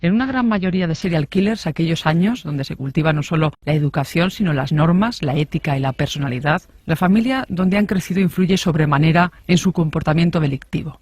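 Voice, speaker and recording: A woman's voice: sits low, a little fast, few pauses, a little mellow, husky, dry recording, clean studio sound. A woman reading scripted true-crime documentary narration.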